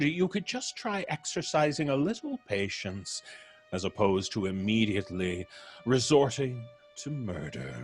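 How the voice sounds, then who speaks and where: A man hosting a live show, speaking in a measured, theatrical way as he chats with performers. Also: medium pitch, a little mellow. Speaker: podcast host. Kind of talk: warm-up chat after a live show.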